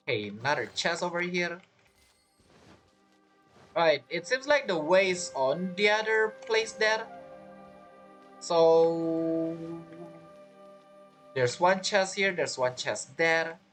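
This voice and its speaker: A man's voice: on the high side, fairly bright tone. A man giving casual game commentary, musing aloud, repeating phrases and asking himself questions. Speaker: gamer narrating a playthrough. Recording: game music behind the voice.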